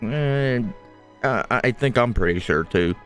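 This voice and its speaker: A man's voice: medium pitch, animated, unhurried, faint music behind. A man giving casual, laid-back gameplay commentary, musing aloud as he reacts. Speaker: game streamer.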